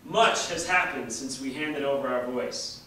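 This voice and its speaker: A man giving a deliberate, emphatic reading of a written political essay at a public event. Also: mid-range voice, bright, forceful tone. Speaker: reader at a staged public reading. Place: large indoor hall at a public event. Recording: echoey hall from far off, faint noise.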